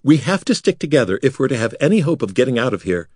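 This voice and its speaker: A man voicing a smug, sharp-tongued female wizard who explains things in a dry, lecturing way. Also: medium pitch, big pitch swings, fast, slightly rough, no room sound, voice pushed up. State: rasping after being choked.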